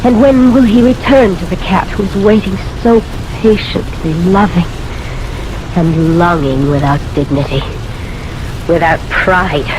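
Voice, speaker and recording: An actress playing an intense, domineering, bitterly jealous woman in an old-time radio drama, speaking in a commanding, deliberate, dramatic way. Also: fairly low pitch, background noise, natural voice. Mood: bitter, brooding. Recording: old radio recording with music.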